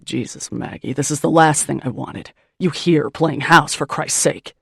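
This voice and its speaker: Woman narrator putting on a surly exhausted man, hoarse and scratchy, barking, very low, gravelly, dry sound. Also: moderate pace, no echo, heavily acted. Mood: angry. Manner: barking, growling retorts. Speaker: audiobook narrator.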